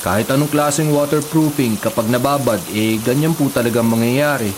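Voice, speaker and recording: A man's voice: mid-range voice, brisk, lightly acted. A man playing a patient, put-upon younger brother in a Filipino radio drama, with a Filipino accent and a mild, respectful, grumbling way of talking. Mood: resigned, explaining. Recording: noisy bed of construction and rain effects.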